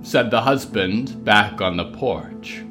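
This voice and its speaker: A man narrating a literary fable in a deliberate, dramatic storytelling manner. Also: on the low side, sharp tone, faint music behind.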